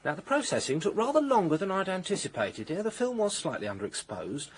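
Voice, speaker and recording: An actor playing a nervous, eager-to-please British chemist in a radio drama, with a flustered, hesitant manner. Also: male voice, sits high, big pitch swings, fast talker, husky, full character voice. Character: fussy, eager small-town male chemist, deferential and nervous. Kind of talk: detective radio drama acting.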